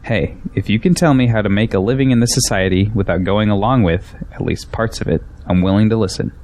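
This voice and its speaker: A man's voice: lowish voice, brisk, darkish tone, a little hiss or hum, natural voice. A man playing a defensive, ambitious young businessman in an audio drama, arguing skeptically and proudly. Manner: defensive, argumentative.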